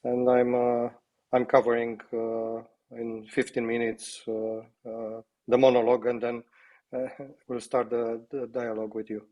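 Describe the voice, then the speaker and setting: A slightly hoarse man speaking on a video call as a company co-founder, opening a webinar presentation in a halting, modest way. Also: medium pitch, completely flat delivery, mellow. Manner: halting, self-deprecating.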